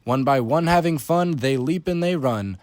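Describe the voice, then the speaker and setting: A man's voice: a little high, moderate pace, darkish tone, dry recording. A man narrating a rhyming children's story as a scripted read-aloud.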